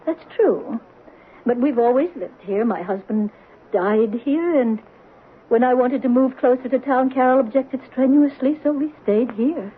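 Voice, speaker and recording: A gentle, slightly nervous mother, her voice sitting a little high. It has the thin, phone-like sound of an old radio broadcast with a faint hiss underneath. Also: measured pace. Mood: gentle, anxious. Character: gentle widowed mother, kind and anxious. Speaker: Celia, a mother. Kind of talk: 1970s radio drama acting.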